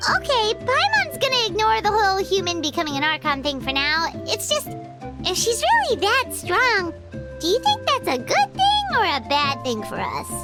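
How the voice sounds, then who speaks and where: A woman voicing a tiny, excitable, curious childlike fairy companion with a squeaky voice in a video game. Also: normal speed, exaggerated voice. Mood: wary. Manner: exclamatory, speaks of self in third person. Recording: loud game music behind.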